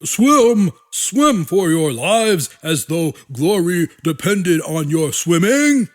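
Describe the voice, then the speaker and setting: A man voicing fanatical human generals in an audiobook, shouting orders in a bombastic, drawn-out way. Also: sits high, very animated, heavily acted. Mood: furious. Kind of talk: audiobook character acting.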